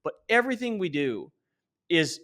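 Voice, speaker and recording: A man's voice: sits high, big pitch swings, moderate pace, a bit bright. A middle-aged man answering interview questions as a company founder, conversational, earnest and emphatic.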